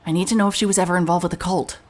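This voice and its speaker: Woman playing a low-voiced, hard-boiled female detective. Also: steady tone, very fast, close to an everyday voice. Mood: tense, insistent. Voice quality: low-pitched voice. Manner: dry, sardonic, blunt. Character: hard-boiled, wry, irritable female private investigator. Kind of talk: cinematic audio drama, noir narration and naturalistic dialogue.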